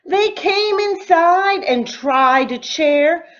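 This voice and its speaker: Very slow, animated woman reading a storybook. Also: very high-pitched, lo-fi sound. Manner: deliberate, animated, sing-song phrasing. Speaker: teacher reading aloud to children. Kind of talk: expressive storybook read-aloud in rhyme.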